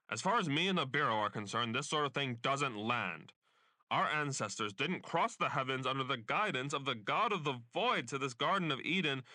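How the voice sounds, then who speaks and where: A man's voice: medium pitch, sharp tone, dry recording, studio quality. A man playing a pompous, bigoted state censor in a dystopian radio play, haranguing and scornful. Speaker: voice actor.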